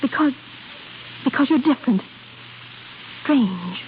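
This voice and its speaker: An actress playing a proud, lonely opera singer, coy and conflicted, in theatrical 1930s radio drama style. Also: female voice, high voice, expressive, slow pace. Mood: earnest, conflicted. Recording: tinny crackly 1930s radio broadcast, faint hiss.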